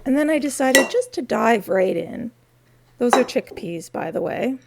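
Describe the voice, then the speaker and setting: A woman with a raspy voice, talking softly and unhurriedly through a quiet crafting vlog in her home studio, with wry asides. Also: fairly high pitch, expressive, unhurried, very noisy. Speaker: crafting vlogger.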